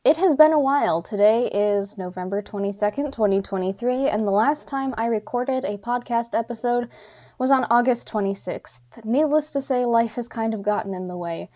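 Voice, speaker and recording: A woman's voice: a little high, normal speed, dark tone. A woman hosting a podcast solo and unscripted, thinking aloud and correcting herself in casual talk, with a slightly congested voice. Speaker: podcast host. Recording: dull, lo-fi sound.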